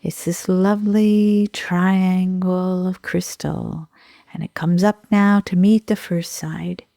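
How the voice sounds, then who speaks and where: Slow, mellow woman guiding meditation. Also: lowish voice, steady tone, vocal fry, no room sound, studio quality. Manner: soothing, unhurried, gentle pauses. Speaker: sound healer and meditation guide. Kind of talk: guided meditation.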